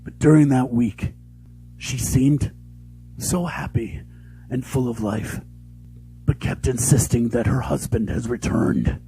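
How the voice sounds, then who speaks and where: Slow, solemn sheriff narrator, acted by a man. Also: medium pitch, gravelly, dark tone, soft background music, poor audio. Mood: somber, regretful. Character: sorrowful small-town sheriff narrating a mystery, sympathetic. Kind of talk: dramatic scripted narration.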